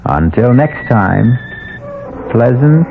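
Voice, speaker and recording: A man hosting a radio show with a theatrical, drawn-out delivery. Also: medium pitch, very animated, gravelly, loud music behind the voice. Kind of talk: slow theatrical radio sign-off. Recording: tinny, noisy vintage radio broadcast.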